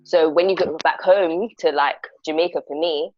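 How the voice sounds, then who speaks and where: Young female, London accent, low register, informal discussion, dry and slightly muffled video-call sound. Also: steady tone, moderate pace, darkish tone, no room sound. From Northwest London. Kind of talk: casual group discussion. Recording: dull video-call audio.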